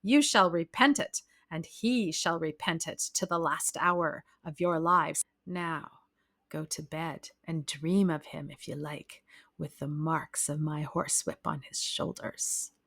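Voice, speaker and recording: A woman voicing a cold, jealous aristocratic husband who makes measured threats, in an audiobook reading. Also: fairly high pitch, big pitch swings, fairly bright tone, voice pushed up. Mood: menacing.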